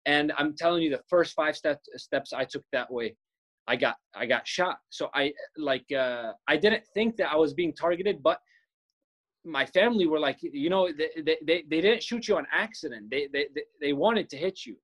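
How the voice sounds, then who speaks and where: Young man from Palestine, podcast guest, earnest storyteller, slightly high voice. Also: expressive, normal speed, fairly bright tone. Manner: earnest, restarts words often. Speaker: filmmaker guest.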